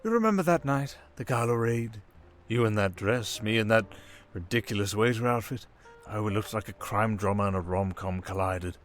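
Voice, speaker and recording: A suave criminal mastermind, acted by a man for an ASMR roleplay, coaxing and condescending. His slightly low voice rises and dips theatrically, with long, deliberate pauses over music. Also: animated, close to an everyday voice. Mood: amused, nostalgic. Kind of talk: intimate ASMR villain roleplay monologue. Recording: music behind the voice.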